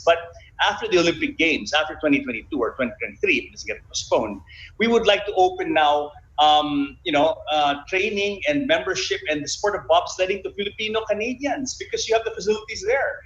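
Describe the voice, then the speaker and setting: A man's voice: fairly high pitch, a bit bright, reverberant. A man explaining things articulately as an interview guest in casual talk, heard over dull online call audio. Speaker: guest, bobsled team president.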